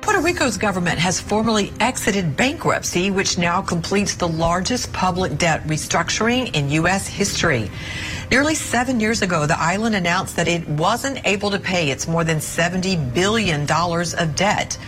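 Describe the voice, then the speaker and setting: A woman delivers a broadcast news report in crisp newsreader style. Her voice sits low. A clear music bed runs underneath. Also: animated, unhurried, a bit bright.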